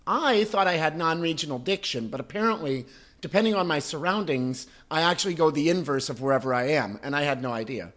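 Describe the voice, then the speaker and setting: A man's voice: a little high, lively intonation, fairly quick, fairly bright tone. A man hosting a podcast, chatting casually, laughing easily and setting up long questions. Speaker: podcast host.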